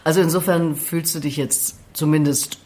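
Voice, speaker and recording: A woman's voice: sits low, fairly even intonation, moderate pace, a little mellow. A woman hosting a podcast, interviewing in a casual, chatty, conversational way.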